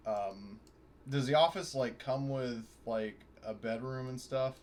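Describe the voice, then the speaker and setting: A man chatting casually as a player in a tabletop game, halting as he thinks aloud. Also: mid-range voice, a little mellow.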